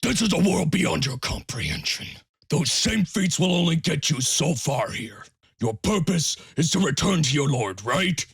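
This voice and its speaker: Audio drama: male actor as hard-headed samurai companion, rough-edged voice, firm scolding delivery, very dry sound. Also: on the high side, animated, normal speed, dry recording, full character voice. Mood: angry, insistent. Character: stern, pragmatic male samurai warrior companion. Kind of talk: fan audio drama acting.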